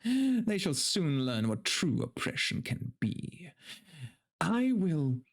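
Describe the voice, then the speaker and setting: A man's voice: on the high side, sing-song, slow pace, vocal fry, pitched above the performer's own voice, heavily acted. A man voicing a haughty, cruel nobleman in a dramatic fantasy story reading, with pompous declamation. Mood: haughty, threatening. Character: haughty, cruel nobleman ruler, contemptuous of peasants.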